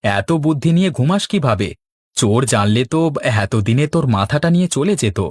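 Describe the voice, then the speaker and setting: A man plays a cheeky young village husband in a cartoon. His pitch is very lively and the sound is dry. He argues and teases like a born squabbler. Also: moderate pace, no echo, lightly acted. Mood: playful. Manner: teasing, argumentative. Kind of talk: cartoon dialogue.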